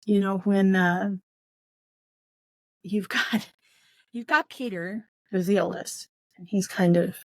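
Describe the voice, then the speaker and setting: Halting woman podcast host, dry sound. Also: medium pitch, steady tone, no room sound. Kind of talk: casual book discussion.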